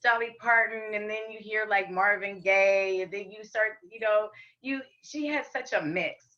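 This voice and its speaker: Woman, deep raspy voice, podcast guest, candid, bright edge, muffled lo-fi audio. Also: moderate pace. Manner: candid, with frequent ums and restarts. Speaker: podcast guest, rapper and singer. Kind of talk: casual interview chat.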